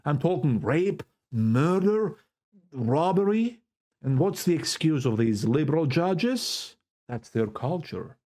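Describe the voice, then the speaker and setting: A fervent Greek Cypriot man talks straight into his own camera and preaches like he's at a pulpit. He takes his time over every phrase, and his pitch swoops up and down with emotion. He hammers key words home by saying them twice. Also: sing-song, takes it slowly, dark tone. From Cyprus. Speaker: vlogger. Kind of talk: impassioned political monologue to camera. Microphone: self-recorded camera setup.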